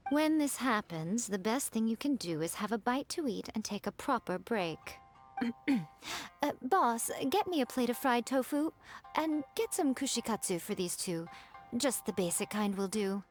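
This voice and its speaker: A woman's voice: fairly high pitch, big pitch swings, a little slow. A woman voicing a sly, elegant fox-spirit lady in a video game, self-assured and teasing, with a poised, condescending way of talking. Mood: mock regretful. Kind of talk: video game character voice acting. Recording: background music.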